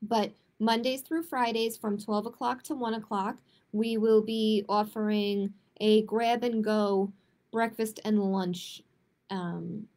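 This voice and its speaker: A woman hosting a children's storytime, reading picture books aloud and chatting to families in an expressive way. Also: medium pitch, slow. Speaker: children's librarian, storytime host. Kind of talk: picture book read-aloud and chatty announcements to young families.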